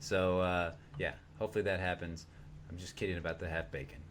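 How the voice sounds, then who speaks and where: A man's voice: sits low, little pitch movement, a little fast, slight background noise. A man co-hosting a livestreamed TV review, joking around and swearing freely. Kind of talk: casual chat.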